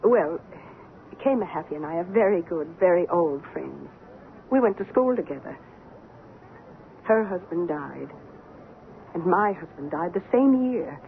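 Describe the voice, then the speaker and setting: An actress playing a careful, earnest middle-aged widow in an old-time radio drama, hesitant but insistent. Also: female voice, fairly low pitch, expressive, unhurried, vocal fry, pauses often. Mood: calm, explaining. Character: earnest widowed friend, calm believer in parapsychology. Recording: phone-like, noisy old radio recording.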